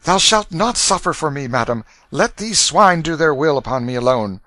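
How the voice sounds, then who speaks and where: A man's voice: on the high side, lively intonation, moderate pace, pushed and bright, dry recording, pitched above the performer's own voice. A man voicing a proud young boy prince in a storybook, with lofty, formal archaic speech. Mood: defiant. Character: proud, indignant young boy prince, gentle but commanding. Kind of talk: dramatic storybook reading.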